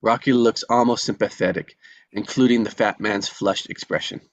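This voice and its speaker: A man's voice: on the low side, fairly even intonation, moderate pace, no room sound, highs cut off. A man reading out stage directions as narrator in a scripted table read.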